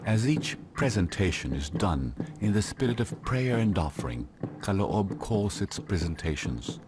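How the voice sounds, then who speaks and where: A man giving measured, deliberate scripted documentary narration. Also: deep voice, sing-song, background noise. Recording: narration over clear background music. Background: instrumental music.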